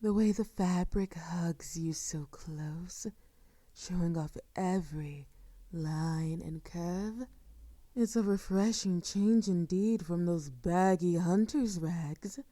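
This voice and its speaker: Slow, velvety dark vampire seductress played by a woman. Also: on the low side, no echo. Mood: seductive admiration. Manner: silky, formal, teasing, often dropping to a whisper. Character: sadistic, seductive female vampire, courtly and predatory. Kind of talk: intimate audio roleplay acting. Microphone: close microphone, dry.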